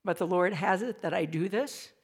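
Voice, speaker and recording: Raspy older woman preaching to a crowd. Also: fairly low pitch. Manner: lively, chatty, self-deprecating preaching with quick asides. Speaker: speaker. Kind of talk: spoken devotional talk to an audience. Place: small old meeting hall.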